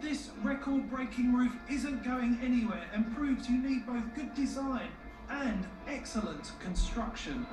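A woman's voice: fairly high pitch, measured pace, recorded from a distance. A woman reading scripted narration for a television documentary. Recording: echoey TV re-recording from afar, music. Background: music.

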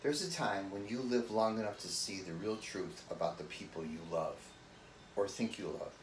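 A man performing a dramatic monologue as an earnest, weary, responsible brother pleading with his sibling, with deliberate pauses. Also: fairly low pitch. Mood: somber. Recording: echoey room with faint noise.

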